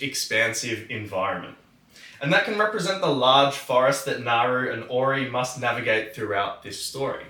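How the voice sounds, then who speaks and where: A man presenting a music tutorial, explaining methodically in a measured, instructional way. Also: mid-range voice, bright, forceful tone. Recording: roomy, distant microphone.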